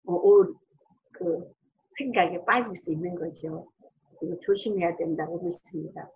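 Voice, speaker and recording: A middle-aged woman preaching as a pastor in an earnest, conversational way, with a strong, loud voice. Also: medium pitch, highs cut off. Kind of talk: sermon with personal testimony.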